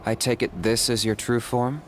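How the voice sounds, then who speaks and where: An actor playing a cool, blunt young hunter in a video game, speaking in a deadpan, matter-of-fact way. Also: male voice, mid-range voice, normal speed, noisy background, natural voice. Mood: cool, wary.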